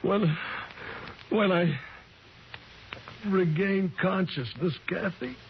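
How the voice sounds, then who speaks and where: Wounded narrator, urgent, dramatic, vintage radio play, muffled lo-fi sound. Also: male voice, high voice, drawn-out words, slight background noise, full character voice. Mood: tense. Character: shaken, wounded grown man, intense storyteller. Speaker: first-person narrator. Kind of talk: 1940s radio acting. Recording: dull old radio recording with loud music.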